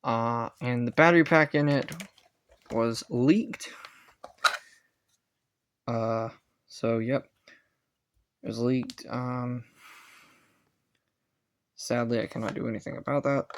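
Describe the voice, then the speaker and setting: Casual man with a gravelly, creaky voice. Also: medium pitch, fairly even intonation. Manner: halting, self-correcting, unscripted. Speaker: hobbyist reviewer. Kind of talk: casual rambling gadget review.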